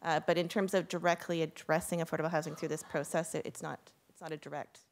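A woman's voice: low-pitched, little pitch movement, quick pace, slight background noise. A woman from city planning staff answering questions at a council meeting, cautious and full of fillers.

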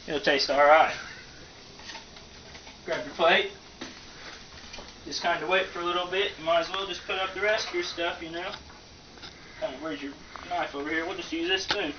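Guy kinda far from the camera doing a goofy homemade cooking how-to, slangy and rambling, loud noise behind him. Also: a little high. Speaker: host of amateur comedic cooking show. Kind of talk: casual joking how-to demonstration. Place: home kitchen, indoors. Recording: distant, dull lo-fi, loud background noise.

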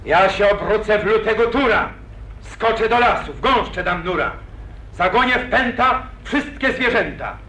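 An actor playing a boastful young hero of an old epic, declaiming verse with pathos in 1940s radio acting. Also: male voice, sits high, animated, moderate pace, bright, forceful tone. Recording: echoey old radio recording.

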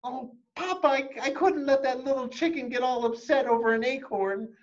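A man voicing an eager, excitable little chicken girl who blurts and pleads like a child in a storybook. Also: very high voice, moderate pace, dull audio, voice pushed up. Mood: pleading.

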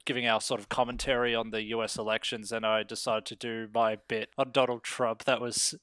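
A man with a smooth, clear voice of medium pitch talks casually as an interview guest. He rambles in a joking, self-deprecating way, recorded close and dry. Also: level tone, fairly quick, fairly bright tone, no room sound. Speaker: interview guest, amateur voice actor. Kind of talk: casual chat.

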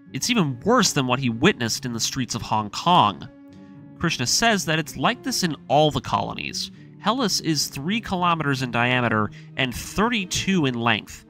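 A man's voice: fairly high pitch, pushed and bright. A man narrating a scripted story recap, speaking to his audience as a storyteller and series narrator.

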